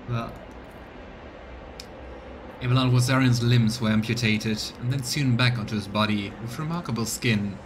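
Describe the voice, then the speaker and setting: Man streaming a game over noisy ambience. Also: on the low side. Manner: thinks aloud, chatting with viewers. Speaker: live streamer voicing a game playthrough. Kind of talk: live let's play commentary mixed with dramatic reading of game text.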